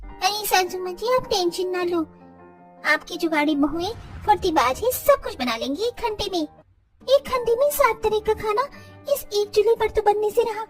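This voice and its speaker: A woman's voice: very high voice, moderate pace, recorded from a distance, faint music behind. A woman playing a young, resourceful, confident village daughter-in-law in moral-story cartoon dialogue. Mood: confident, reassuring.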